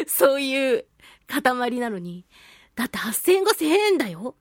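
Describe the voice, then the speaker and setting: Female radio host, high voice, highly animated delivery, casual playful storytelling. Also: takes it slowly, dry recording, clean studio sound. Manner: animated, chatty storyteller with playful self-mockery. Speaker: host of a talk radio show, voice actress. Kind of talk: casual monologue, telling a personal anecdote.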